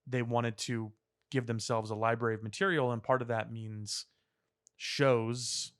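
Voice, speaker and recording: A man's voice: medium pitch, fairly even intonation, measured pace, no room sound. Male podcast co-host, dry close sound. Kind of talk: casual pop culture banter.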